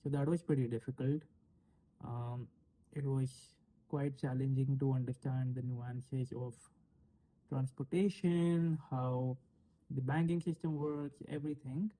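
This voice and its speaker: A man in his late twenties with an Indian accent, answering as a panel guest in measured, halting phrases. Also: medium pitch, fairly even intonation, warm tone, rough recording. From Delhi, India. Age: late 20s. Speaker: panel guest, analytics manager. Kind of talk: conversational self-introduction and answers.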